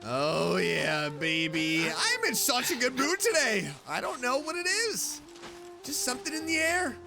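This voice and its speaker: A man with a high voice commentates casually on a video game in an excitable, chatty way. His pitch swings widely while his delivery stays unhurried and slow. Game music plays behind him. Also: gravelly. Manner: excitable, chatty, talks to self and game character. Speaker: streamer, video game let's player.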